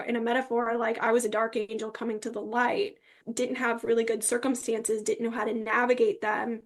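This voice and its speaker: Higher-pitched woman, candid and earnest. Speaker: interview guest recounting personal experience with psychiatric medication. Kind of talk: candid personal testimony in conversation.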